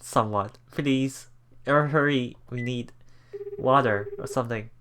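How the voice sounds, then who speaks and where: A let's player reading a visual novel aloud in a halting way, with emotionally invested asides. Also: mid-range voice, a bit bright, slight background noise. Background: game music.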